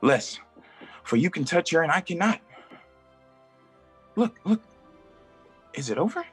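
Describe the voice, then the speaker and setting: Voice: mid-range voice, big pitch swings, unhurried, breathy edge, soft background music. A performer reading a male wandering magician in a play table read, stammering and theatrical. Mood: fretful. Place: live reading at an art exhibition.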